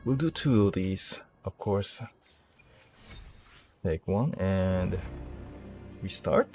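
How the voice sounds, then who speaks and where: Low-voiced man casually commentating his gameplay. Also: animated, extremely slow, a little mellow, soft background music. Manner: rambling, thinking aloud, self-deprecating. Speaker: streamer, game player.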